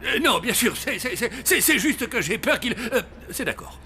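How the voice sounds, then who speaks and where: A performer voicing a nervous, blustering school headmaster in a cartoon, stammering and excitable. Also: medium pitch, moderate pace, music in the background. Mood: flustered, reluctant. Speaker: school principal. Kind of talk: animated cartoon dialogue in French dub.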